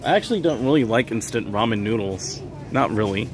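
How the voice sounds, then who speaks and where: A man's voice: fairly low pitch, lively intonation, brisk, over music. A man hosting a casual livestream while shopping, chatting with viewers and reading their names aloud with easy fillers and small laughs. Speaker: livestream host. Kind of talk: casual live-stream chat while shopping. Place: grocery store, indoors.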